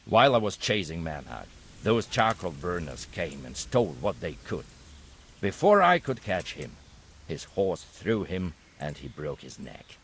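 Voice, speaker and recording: An actor playing a stern knight who calmly reports on forged coins in a video game cutscene, speaking bluntly and without fuss. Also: male voice, sits low, unhurried, a little hiss or hum, full character voice. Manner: blunt, matter-of-fact reporting. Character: grim male knight investigating counterfeiters.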